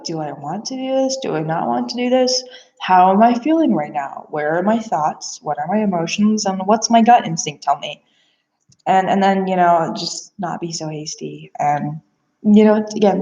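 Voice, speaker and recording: A woman's voice: lowish voice, a little mellow. A woman talking casually and earnestly about herself in a rambling, introspective personal monologue. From Bulgaria, adopted from there. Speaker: aspiring therapist and psychic reader. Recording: echoey room, slightly dull sound.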